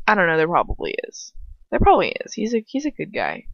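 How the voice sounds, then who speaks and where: Animated, sweary woman in a roomy space. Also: on the low side, gravelly. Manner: sweary, informal, opinionated, slangy. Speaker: amateur fan fiction reader. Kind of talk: rambling casual commentary while reading aloud. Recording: roomy, slightly dull home recording.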